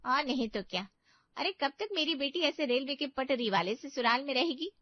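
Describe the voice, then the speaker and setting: Woman plays protective middle-aged cartoon mother, fussy, blunt, raised pitch, dry dulled recording. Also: animated, moderate pace, no room sound, muffled recording. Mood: alarmed. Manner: exclaiming, fussing.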